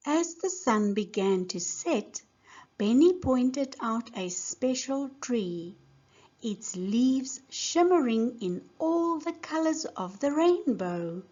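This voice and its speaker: A woman teacher giving a scripted lesson and narrating a storybook in a deliberate, simple way for young learners. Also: high voice, sing-song, dark tone, lo-fi sound. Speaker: English teacher reading a children's story.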